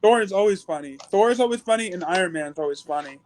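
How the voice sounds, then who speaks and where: A man chatting casually as a guest, halting and stumbling over his words. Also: sits high, lively intonation, a little mellow, slight background noise. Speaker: guest on review show. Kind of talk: casual chat.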